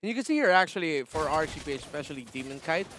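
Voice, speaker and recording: A man from the Philippines commentating live on an esports match, excitable and stretching words out for drama. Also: on the high side, racing, a little hiss or hum. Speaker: esports shoutcaster.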